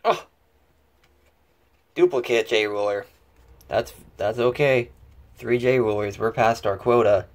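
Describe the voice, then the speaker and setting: Slow, creaky-voiced man opening card packs. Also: mid-range voice. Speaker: card pack opening host. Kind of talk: casual unboxing commentary. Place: indoors at a table covered with cards.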